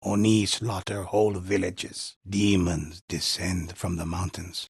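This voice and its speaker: An actor playing a mysterious, solemn older male storyteller in a video game, telling legends in a measured, portentous way with a husky, gravelly voice. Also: sits low, little pitch movement, dry recording, clean studio sound, heavily acted. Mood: grave, urgent. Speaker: storyteller narrator. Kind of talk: dramatic scripted storytelling narration for a video game.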